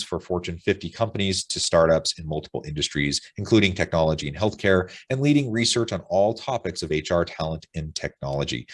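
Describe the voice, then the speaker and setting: An American man with a low voice works as an interview host on a professional webinar. He sounds upbeat and enthusiastic, moving between prepared introductions and conversational questions. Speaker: podcast host. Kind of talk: webinar interview hosting, partly reading prepared notes.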